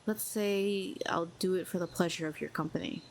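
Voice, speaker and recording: A woman's voice: on the low side, normal speed, creaky, slight background noise, low-quality recording. A woman playing a proud, lonely telepathic villain who talks in a sardonic, cool and needling way. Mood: guarded. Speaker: audio roleplay performer. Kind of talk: scripted audio roleplay.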